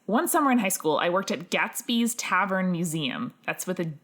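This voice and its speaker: A woman's voice: on the low side, expressive, moderate pace, a bit bright. A woman co-hosting a podcast in casual chat, with a wry, joking manner. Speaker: podcast co-host.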